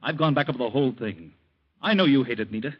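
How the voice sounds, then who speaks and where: An actor playing a bitter, accusing young son with an American accent, in an intense, clipped old-time radio style. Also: male voice, medium pitch, expressive, quick pace, husky. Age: young adult. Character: bitter, accusing young son, grieving his murdered sweetheart. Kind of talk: 1940s radio acting. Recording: muffled old radio recording.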